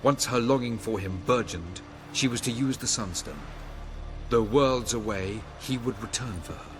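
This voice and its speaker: A man playing a proud, scholarly elf sage in a video game, speaking in formal, measured, lofty phrases. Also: fairly low pitch, over music, lightly acted. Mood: solemn storytelling. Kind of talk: video game cutscene acting.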